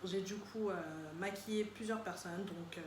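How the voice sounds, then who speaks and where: A woman's voice: mid-range voice, steady tone, moderate pace. A woman in her twenties answering interview questions casually as a guest makeup artist. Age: 20s. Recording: echoey room with faint background noise.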